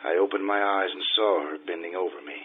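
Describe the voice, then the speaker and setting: An actor playing an aging, boastful Casanova in a florid, theatrical old-time radio drama style, through a vintage radio filter. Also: male voice, low voice, quick pace, slightly rough. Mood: romantic. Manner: florid, theatrical, self-admiring. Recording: tinny vintage radio sound with music.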